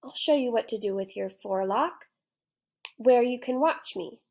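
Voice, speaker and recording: A woman's voice: fairly high pitch, normal speed, gravelly, darkish tone. A woman voicing a polite, clever little boy adventurer in a children's storybook. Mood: helpful. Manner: pleading, helpful, polite. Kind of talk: children's storybook character reading.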